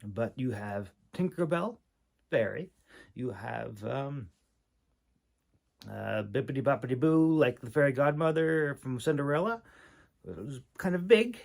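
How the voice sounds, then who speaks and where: A man giving a casual, unscripted critique as a host, halting and thinking aloud with long pauses. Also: medium pitch, lively intonation, gravelly, darkish tone. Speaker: host critiquing a writer's manuscript.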